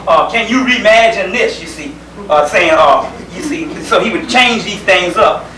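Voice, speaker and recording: A man from South Carolina with a Southern US accent, giving an informal lecture in a folksy, conversational manner with pauses and repeated phrases. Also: sits high, expressive, bright, forceful tone. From South Carolina, United States. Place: indoor lecture gathering. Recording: roomy, distant microphone, faint background noise.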